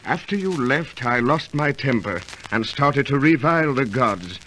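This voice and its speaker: A man's voice: mid-range voice, lively intonation, unhurried, sharp tone. An actor playing a grave, superstitious ancient tribesman with formal, archaic phrasing in an old radio drama. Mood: earnest. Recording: faintly noisy, clicky old radio recording.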